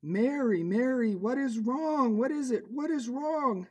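A woman playing a bold male puppet disciple, telling a children's story in an earnest, expressive way. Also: a little high, a little slow, husky. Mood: alarmed. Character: bold, eager puppet disciple Simon Peter, a man.

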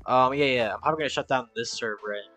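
An excitable young man chats while gaming, exclaiming loudly when something happens. He is on a headset mic. Faint game music sits underneath his voice. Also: medium pitch, rapid-fire. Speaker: Let's Play host. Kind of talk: casual gaming chat.

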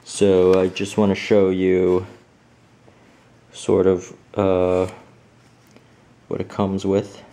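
A low-pitched man talks slowly through an instruction manual, filling the gaps with fillers and false starts. His voice is dark and mellow, picked up by the camera's own mic at home. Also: monotone. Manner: hesitant, many fillers and restarts. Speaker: home fixer-upper showing a manual. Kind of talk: casual off-camera walkthrough.

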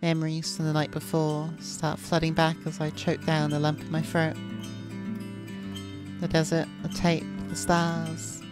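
A woman streamer reading visual novel narration aloud in a subdued, measured way. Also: low voice, monotone. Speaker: VTuber streamer. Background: faint game music.

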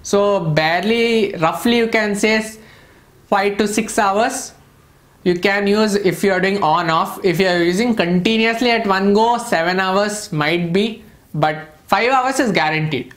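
A man in his twenties with an Indian English accent, giving a casual gadget review with informal, halting, repetitive explanations. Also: high voice, a bit bright. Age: 20s. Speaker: product reviewer.

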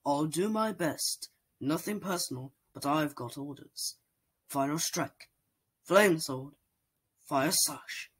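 Someone voicing a calm, honorable swordsman robot. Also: medium pitch, breathy edge, rough recording. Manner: cool, measured, soft.

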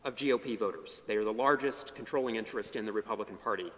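A middle-aged man giving an academic history lecture from a podium on a large chapel stage, measured, with emphatic phrase openings that fall to low endings. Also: fairly bright tone. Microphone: podium mic. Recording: long hall reverb.

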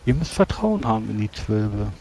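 A man playing a solemn, measured priest in a tabletop game, speaking formally and archaically as he counsels a comrade. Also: on the low side, very animated, mellow, slight background noise. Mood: earnest, exhorting. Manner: formal archaic speech, preachy, deliberate. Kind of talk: tabletop roleplay in character.

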